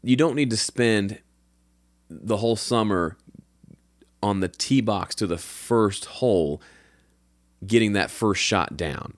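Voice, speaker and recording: A man's voice: lowish voice, slow, pauses often, no echo. A man with a slightly nasal voice, hosting a show and casually answering listener questions from his home studio. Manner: relaxed, conversational, with fillers and restarts. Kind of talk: casual advice talk.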